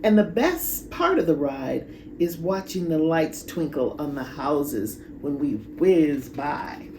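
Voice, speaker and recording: A woman reads a picture book aloud to children. Her voice is creaky and slow-paced, with drawn-out, expressive emphasis. Clear background noise runs under the amateur recording. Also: low voice, roomy sound. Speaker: children's storybook reader. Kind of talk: expressive read-aloud storytelling with asides.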